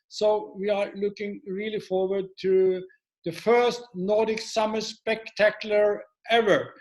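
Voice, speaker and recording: A man with a notably high voice speaks up on a video call. He introduces the evening's speakers with upbeat eagerness. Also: flat delivery, unhurried, low-quality recording. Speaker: event committee member.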